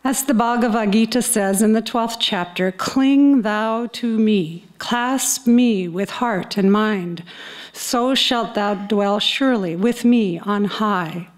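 Older woman reading scripture, measured and solemn. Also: mid-range voice. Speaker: reader at a church service. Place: indoor chapel. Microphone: stand microphone close to the mouth.

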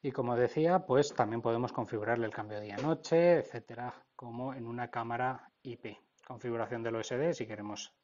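Dull-sounding Spanish man explaining software. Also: mid-range voice, a little fast, hardly any pauses. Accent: Spain Spanish. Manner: explanatory, often checking with "vale?". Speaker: technical instructor. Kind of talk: informal software tutorial narration.

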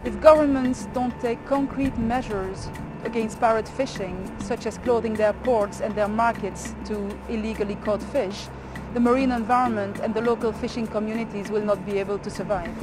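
A woman's voice: on the high side, steady tone, normal speed, over music. A woman in her forties giving an earnest, urgent statement to camera on a ship deck at sea. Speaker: campaigner.

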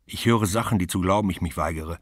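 A man's voice: sits low, quick pace, slightly rough, no echo, studio quality. A man reading aloud and voicing a frightened, distraught wife near breakdown, speaking in an agitated, pleading way.

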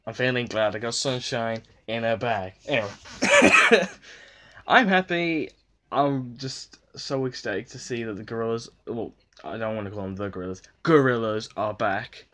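A man in his twenties gushing in an excited reaction vlog, swinging between shouts and hushed whispers and swearing freely, filmed on a phone held at arm's length in a small bedroom. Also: lowish voice. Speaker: vlogger.

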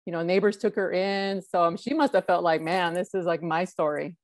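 A woman's voice: fairly low pitch, a little fast, dry recording, clean studio sound. A middle-aged woman interviewing guests over a video call with friendly, chatty questions. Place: indoor room with red wall. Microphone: wired earphone mic.

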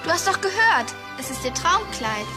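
An actress playing an excitable young schoolgirl in a German children's drama. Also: extremely high pitch, normal speed, over music. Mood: impressed.

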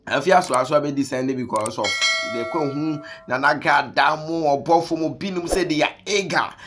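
A man's voice: medium pitch, fairly bright tone, slight background noise. Young Ghanaian man hosting a commentary show. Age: young adult. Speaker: online show host. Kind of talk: reaction commentary, mixing Twi and English. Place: small room indoors.

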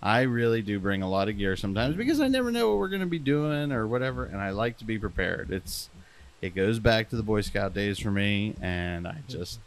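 A man's voice: lowish voice, big pitch swings, brisk. A young man chatting casually as a podcast co-host, rambling and self-deprecating with frequent restarts.

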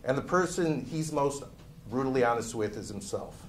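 A man's voice: mid-range voice, lively intonation, normal speed. A middle-aged man giving an emphatic, theatrical reading of a written introduction at a microphone. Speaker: guest reader at a book party. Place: indoor room at a live party. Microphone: microphone on a lectern stand. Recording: live room, faint background noise.

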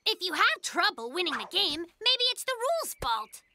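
Excitable high-pitched cartoon bear boy. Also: normal speed, noisy background, full character voice. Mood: confident, scheming. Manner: exuberant, slangy. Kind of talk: animated children's cartoon acting. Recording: children's cartoon music behind.

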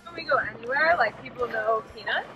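A young woman vlogger telling a lively anecdote to camera, acting out what other people said. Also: fairly high pitch, a little fast, highs cut off, over loud music. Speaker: sailing vlogger. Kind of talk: casual storytelling to camera.

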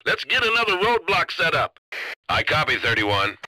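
Game police officer, intense and clipped, very forceful, lively pitch, over radio. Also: male voice, moderate pace, no room sound, full character voice, poor audio. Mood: urgent. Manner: clipped, urgent. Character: intense, urgent male highway patrol officer. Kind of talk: video game radio chatter.

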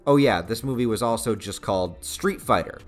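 A man's voice: fairly low pitch, expressive, brisk, faint music behind. A man in his thirties with a North American accent, narrating a scripted but conversational review with casual, sarcastic asides. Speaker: video essayist, channel host.

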